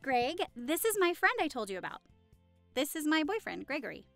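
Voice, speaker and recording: Woman acting a high-voiced, cheerful young party hostess. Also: very animated, brisk, gravelly, fairly bright tone, faint music behind, heavily acted. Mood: welcoming. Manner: cheerful, chatty, reassuring. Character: friendly, affectionate young woman hosting a Halloween costume party. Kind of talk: audio roleplay acting, addressing the listener.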